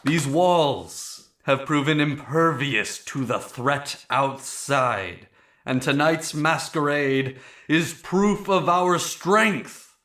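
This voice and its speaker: A man's voice: fairly high pitch, a bit bright. An actor playing a flamboyant, arrogant prince in an audio drama, grandiose and declamatory as he savors his words. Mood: grand, exultant. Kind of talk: theatrical audio drama acting.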